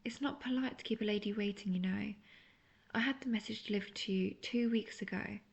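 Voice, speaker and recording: Breathy, creaky-voiced manipulative witch, played by a woman. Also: medium pitch, brisk, muffled recording. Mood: mock offended. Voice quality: breathy, often near-whispered. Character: manipulative, seductive imprisoned witch feigning innocent helplessness. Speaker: voice actor in audio roleplay. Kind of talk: intimate scripted fantasy audio roleplay.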